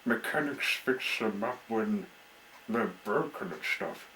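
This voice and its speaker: A sweet-natured young boy in a children's storybook, played by a grown man. He sounds eager and caring in a simple, childlike way, with slow phrasing. The recording has audible background noise. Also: fairly low pitch, pushed and bright, low-quality recording. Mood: explaining.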